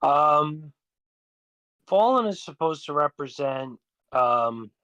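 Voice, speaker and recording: A man's voice: on the high side, expressive, slow pace, bright, forceful tone, smooth, dry recording, highs cut off. A man chatting reflectively as a songwriter, hesitant and rambling, often repeating his words as he goes. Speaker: songwriter and musician. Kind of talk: casual reflective discussion.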